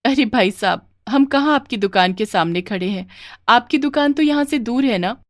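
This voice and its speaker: A woman voicing a poor widowed mother who sells street food, earnest and plaintive, in an animated moral story. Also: a little high, normal speed, no room sound, studio quality, close to an everyday voice. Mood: indignant.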